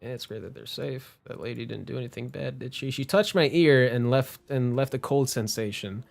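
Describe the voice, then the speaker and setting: A man's voice: mid-range voice, moderate pace, creaky. A man doing casual live game commentary as a streamer, playful, informal and rambling.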